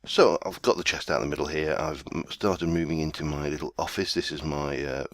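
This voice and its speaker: Very deep-voiced man with a cold. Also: fairly even intonation, a little fast, no room sound, clean studio sound. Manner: rambling, self-deprecating, easygoing. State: chesty with a summer cold. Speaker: let's play host. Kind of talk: casual gameplay commentary.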